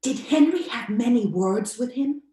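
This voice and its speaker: An actress playing a stately, probing married sister in an old-time radio drama. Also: female voice, fairly high pitch, animated, unhurried, warm tone, echoey room, soft background music. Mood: probing, uneasy.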